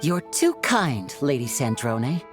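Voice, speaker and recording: Lively, theatrical young stage magician. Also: female voice, a bass voice, takes it slowly, heavily acted. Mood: charming, teasing. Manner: courteous, theatrical. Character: charming, polite, teasing young stage magician. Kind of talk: video game voice acting. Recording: music under the voice.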